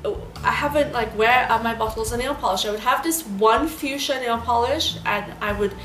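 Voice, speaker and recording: A woman's voice: fairly high pitch, moderate pace, fairly bright tone, slight background noise. Chatty Trinidadian woman vlogger, echoey room. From Trinidad and Tobago. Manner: chatty, rambling, many fillers. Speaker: beauty vlogger. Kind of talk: casual storytelling vlog.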